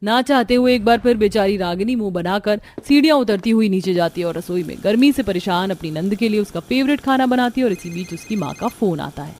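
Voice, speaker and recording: A woman narrating a scripted animated family drama as a storyteller. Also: medium pitch, brisk, few pauses, a little hiss or hum.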